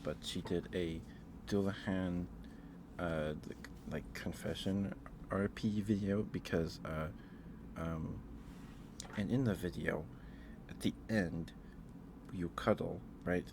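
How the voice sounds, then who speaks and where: A man hosting an ASMR livestream, chatting casually in a halting, rambling way with pauses and repetitions. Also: low-pitched, fairly even intonation, noisy background. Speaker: livestream host.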